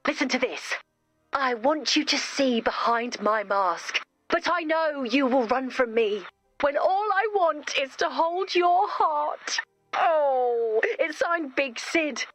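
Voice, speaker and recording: Naive sweet game girl, high n bright, takes her time, really earnest. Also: animated, takes it slowly, dry recording, exaggerated voice. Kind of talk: video game character voice lines.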